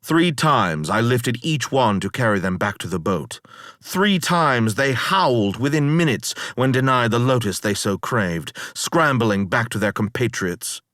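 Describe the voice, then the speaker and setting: Slow, solemn male narrator. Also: medium pitch, animated, a bit bright, no room sound, clean studio sound. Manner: solemn, formal, measured. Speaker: narrator reading a story. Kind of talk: dramatic first-person epic narration.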